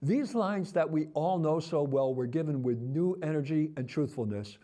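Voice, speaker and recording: A man reading a scripted theater review as a critic, with articulate, expressive and theatrical phrasing. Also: a little high, normal speed.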